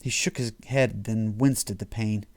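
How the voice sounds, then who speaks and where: A man's voice: fairly low pitch, steady tone, normal speed. A man reading his own horror short story as scripted audiobook narration.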